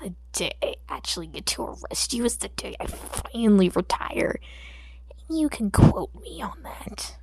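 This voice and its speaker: A woman's voice: medium pitch, big pitch swings, a little slow, creaky, a little mellow, breathy edge, dry recording, whispered, full character voice. A woman voicing a stern, exasperated male cat-headed police officer in an audiobook, curt and huffy. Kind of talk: audiobook character acting.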